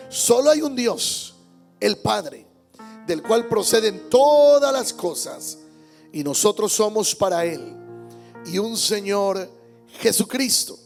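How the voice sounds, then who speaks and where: A male pastor preaching a Sunday sermon in church, emphatic, repeating key words and calling out amens. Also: high-pitched. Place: church service.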